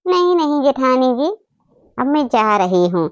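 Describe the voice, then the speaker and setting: A woman's voice: high-pitched, sing-song, a little slow, clear, resonant tone, no room sound. A woman voicing a homely, chatty, easily offended aunt in a cartoon.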